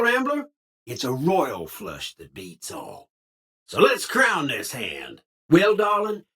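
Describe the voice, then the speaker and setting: An actor playing a folksy, eager, slightly sly cowboy robot with a hearty Western American drawl in a video game. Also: male voice, medium pitch, sharp tone, husky, heavily acted. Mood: excited, showy.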